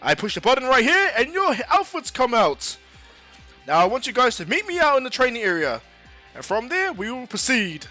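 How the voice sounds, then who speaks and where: A man voicing a hearty superhero teacher in an anime story, with grand, excited, drawn-out announcing over music. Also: very high voice, voice pushed up, exaggerated voice.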